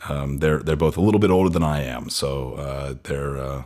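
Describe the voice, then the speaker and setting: A man with an extremely low, deep voice talks casually as a podcast host. His delivery is halting and informal, like someone thinking aloud among friends. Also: fairly even intonation, darkish tone. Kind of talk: casual chat.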